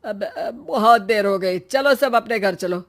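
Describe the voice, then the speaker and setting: A woman's voice: mid-range voice, measured pace, close to an everyday voice. A woman voicing an older male village headman in a story, folksy and evasive, steering the talk.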